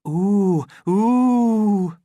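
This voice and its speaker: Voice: mid-range voice, very slow, warm tone, no room sound, voice pushed up. A reader voicing a crowd of identical, childlike workers crying out in delight, in an audiobook story. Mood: joyful.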